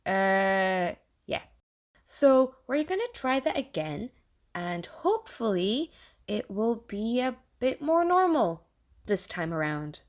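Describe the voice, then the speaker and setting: A woman's voice: medium pitch, sing-song, slow pace. A woman playing an eager, awkward and apologetic AI assistant who lives inside someone's head, in an audio drama monologue. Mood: awkwardly encouraging. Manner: bubbly, awkward, hesitant, apologetic, over-reassuring. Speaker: AI personal assistant. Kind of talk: audio theatre monologue addressed to the listener.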